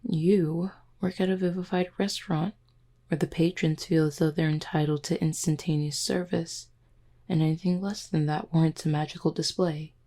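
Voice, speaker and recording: A woman's voice: low voice, fairly even intonation, normal speed, mellow. A woman playing a flirty, confident werewolf who teases her listener in an intimate roleplay audio drama. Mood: flirty, sincere. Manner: teasing, flirtatious, smooth-talking. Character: flirty, teasing, confident female werewolf pack beta.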